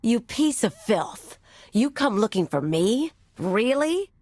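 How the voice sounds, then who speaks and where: A woman's voice: a little high, big pitch swings, slow pace, a little mellow, no room sound, heavily acted. An actress playing a tough, bitter woman anarchist in a video game, with a blunt, mocking manner. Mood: angry, hostile. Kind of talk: video game cutscene acting.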